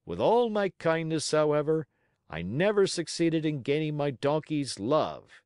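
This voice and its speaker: A man's voice: a little high, big pitch swings, measured pace, darkish tone, smooth, dry recording, clean studio sound, voice pushed up. A man voicing a pompous, domineering circus ringmaster in a storybook, with a grandiose showman's way of declaiming. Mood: pompous, boastful.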